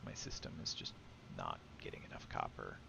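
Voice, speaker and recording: A man's voice: a little fast, background noise. A man chatting casually as he streams and comments on his gameplay. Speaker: game streamer.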